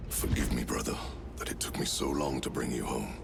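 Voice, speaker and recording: Very deep, gravelly, stately warlord commander. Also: male voice, fairly even intonation, fairly quick, noisy background, exaggerated voice. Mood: solemn, regretful. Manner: formal, solemn, ceremonial. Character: stately male Chapter Master, commanding, proud, fatherly warlord. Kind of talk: video game cinematic voice acting. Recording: cinematic music behind.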